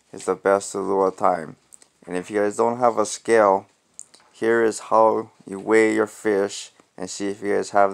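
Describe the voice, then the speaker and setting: A young man with a low, gravelly voice talks through his fishing gear at an easy, unhurried pace. His delivery stays flat and laid-back, full of ums and restarts. He sounds like he is recorded on an action camera in a cluttered indoor spot. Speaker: fishing vlogger. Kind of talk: casual gear walkthrough.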